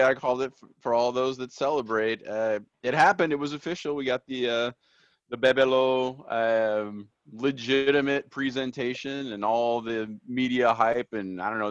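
A man's voice: mid-range voice, fairly bright tone, no room sound. A man hosting a casual sports podcast, chatty and upbeat, laughing often. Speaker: podcast host. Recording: dull lo-fi sound.

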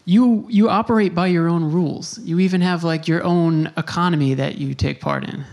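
Casual interviewer with a creaky voice. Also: medium pitch, steady tone, normal speed, darkish tone.

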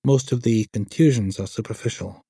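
A man's voice: on the low side, fairly quick, warm tone, slightly rough, no room sound, studio quality. A man voicing a precise, humourless female pathologist in an audiobook, formal and clinical.

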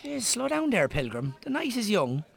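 A man's voice: high-pitched, huge pitch swings, normal speed, vocal fry, slight background noise, full character voice. An actor in a radio drama playing a joking, bantering Irish barman in a pub. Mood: needling, friendly. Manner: bantering, joshing. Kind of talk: naturalistic radio drama acting.

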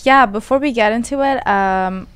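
A woman's voice: a little high, normal speed, clean tone. A woman in her twenties from Iran, a native Persian speaker, chatting casually as a podcast co-host. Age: 20s. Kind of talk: casual panel discussion.

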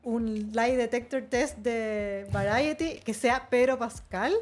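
Chilean female co-host, fairly high voice, lively, casual pop-culture chat. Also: slow, soft background music. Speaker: podcast co-host.

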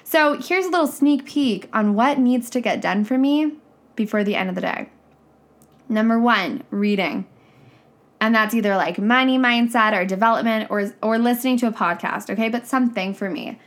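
A woman's voice: a little high, moderate pace. A young woman, a business coach, talking casually on her podcast, her voice nasal and stuffy from a cold, frank and chatty. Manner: chatty, frank, says "like" and "right?" often.

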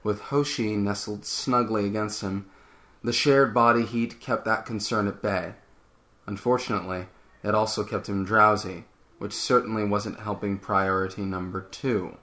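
A man's voice: on the low side, steady tone, normal speed, bright, forceful tone. A man narrator giving a scripted dramatic reading of fan fiction.

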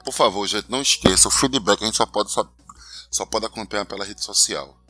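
Casual podcast co-host, young man, strong Recife accent, low pitch, chatty, home recording with faint music under it. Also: brisk, sharp tone, breathy edge, no room sound. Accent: Pernambuco (Recife). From Recife, northeast Brazil. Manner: chatty, often tags "entendeu?". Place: living room at home.

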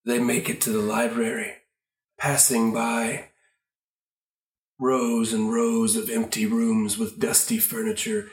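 A man narrating an improvised comic radio play, halting as he gropes for words. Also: medium pitch. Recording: roomy, echoey recording.

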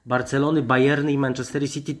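A man discusses football as a podcast panellist. His voice sits at a middling pitch. He talks in a digressive, halting way, often restarting and rephrasing his point. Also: level tone. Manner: halting, rambling, digressive with self-corrections. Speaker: sports journalist and podcast panellist.

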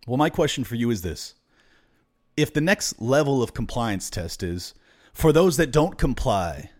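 A man hosting his own podcast, slightly raspy today, riffing through an unscripted monologue with plenty of fillers and restarts. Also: medium pitch, a little mellow. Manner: rambling, freewheeling rant with fillers. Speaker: podcast host. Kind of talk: casual political monologue.

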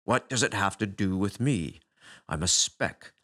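A man voicing a weary, touchy male space alien who talks like a growling tough guy, deep and gravelly. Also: expressive, moderate pace, a bit bright. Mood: gloomy.

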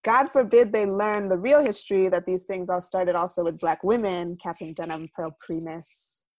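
A young American woman with a lowish voice speaks as an interview guest, thoughtful and searching. She pauses, restarts and lets her sentences trail off softly, recorded dry with no room sound. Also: fairly even intonation, no echo. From United States. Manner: thoughtful, many fillers and restarts, trailing off quietly. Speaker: guest artist. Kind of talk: reflective interview talk.

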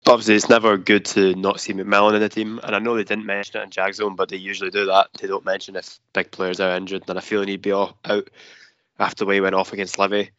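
A man giving rapid, even takes as a football podcast panelist. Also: low voice, dry recording. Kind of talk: casual football podcast chat. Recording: dry, dull lo-fi podcast audio.

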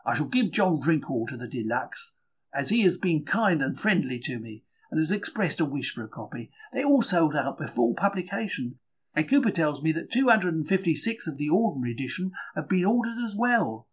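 Husky, plucky bookseller, acted by a man. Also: fairly high pitch, very animated, fairly quick. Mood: pleased, proud. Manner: colloquial, wry, emphatic storytelling. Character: young 1930s London working-class bookseller, chatty, plucky, easily wounded. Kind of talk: dramatic first-person diary reading.

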